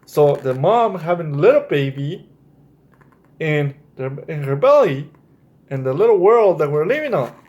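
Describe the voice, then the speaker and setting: Male children's storytime host, higher voice with very lively pitch, speaking straight to kids and checking they follow. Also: normal speed, dull audio, slight background noise. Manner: addresses children directly, often checks with "right?". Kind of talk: explaining to children, read-aloud storytelling.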